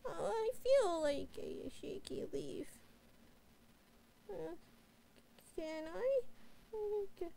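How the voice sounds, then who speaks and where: A woman's voice: very high voice, animated, drawn-out words, gravelly, long pauses, dark tone, heavily acted. A woman playing a timid, clingy young catgirl who stammers and whimpers as she pleads with her master in a bedtime roleplay audio. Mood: shaky, timid. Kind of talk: roleplay audio drama, bedtime sleep aid. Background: rain and thunder ambience.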